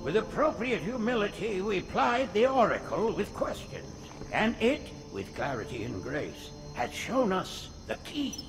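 An actor playing a fervent, sanctimonious old alien prophet in a video game, preaching in florid, reverent phrases. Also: male voice, sits high, big pitch swings, measured pace, creaky, over music, full character voice. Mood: rapturous. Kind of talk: video game cutscene acting.

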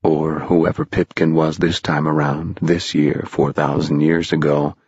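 A man voicing a sly, dry old spirit guide in a storybook, commanding and conspiratorial, with a hushed, deliberate manner. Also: rumbling low, level tone, husky, no echo, muffled recording, pitched below the performer's own voice, exaggerated voice. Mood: cautioning, wry. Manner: hushed, deliberate, addressing boys as lads.

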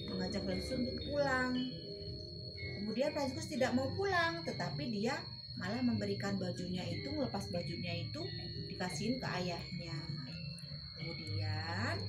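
A middle-aged woman tells a story informally, in colloquial, halting phrases with frequent filler words. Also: mid-range voice. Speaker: mother telling a story for a kindergarten storytelling contest. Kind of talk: informal, unscripted storytelling to a child. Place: small room at home, indoors.

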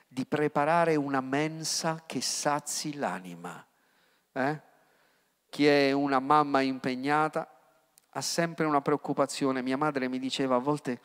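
A middle-aged man, preaching a sermon into a handheld mic, swinging from loud outbursts to hushed words. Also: mid-range voice. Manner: impassioned preaching with sudden rises and hushed drops. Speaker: pastor. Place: church hall. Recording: long church reverb.

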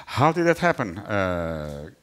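A middle-aged man with a Romanian accent, a native Romanian speaker, interviewing on stage in halting English, searching for words. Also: low-pitched, sing-song, long reverb tail. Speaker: host, interviewer. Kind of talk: stage interview before an audience. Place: large hall stage, indoors. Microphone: headset.

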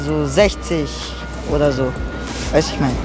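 A man's voice: on the high side, normal speed, over music. A young man answering a street interviewer in a city square, slangy and hesitant with many fillers. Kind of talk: casual street chat.

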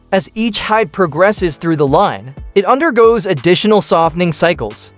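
Male voice-over for a factory documentary, high and animated, faint music bed. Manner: steady explanatory delivery in short listed phrases. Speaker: documentary narrator. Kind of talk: scripted documentary narration.

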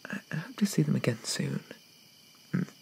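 A man playing a shy, apologetic young zombie in an ASMR roleplay, with hesitant, stammering, old-fashioned politeness and a soft whisper. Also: a little high, expressive, normal speed, slight background noise. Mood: timid wonder. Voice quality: soft, breathy whisper with creaky edges.

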